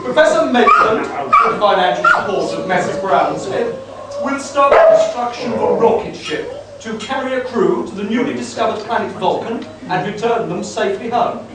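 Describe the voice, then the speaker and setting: A man in an amateur radio play playing a formal, polite committee chairman who is measured and a little baffled. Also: sits high, animated. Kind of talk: amateur live comic radio play acting. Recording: echoey hall, distant, loud noise.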